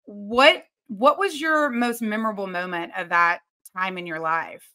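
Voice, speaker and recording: Woman, thirties, interview host, bright voice, upbeat and encouraging, home office video call. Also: mid-range voice, a little slow. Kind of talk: friendly interview questions.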